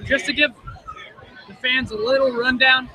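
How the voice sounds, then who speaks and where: A man's voice: extremely high pitch, steady tone, moderate pace. A man in his twenties, an injured wrestler, talking earnestly and confidently in a casual interview at a live wrestling show. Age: 20s. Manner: earnest and self-assured, plain talk. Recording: crowd noise at a live wrestling event.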